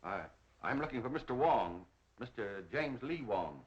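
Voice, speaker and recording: A man's voice: mid-range voice, animated, moderate pace, lightly acted. An actor playing an anxious, agitated businessman in a 1930s film, with a clipped way of talking. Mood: nervous. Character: anxious, hurried businessman who fears for his life. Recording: muffled, noisy 1930s film soundtrack.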